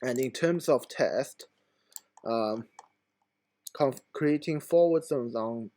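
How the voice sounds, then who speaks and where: A hoarse man who is losing his voice, talking through a screen-recorded computer tutorial in a halting, informal way with many fillers. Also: medium pitch, mellow, slight background noise.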